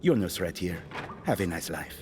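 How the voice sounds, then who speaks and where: A calm killer in an audio drama, with a lowish voice. He speaks in measured, condescending lines with an air of superiority. There is slight ambient noise around him. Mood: cool condescension.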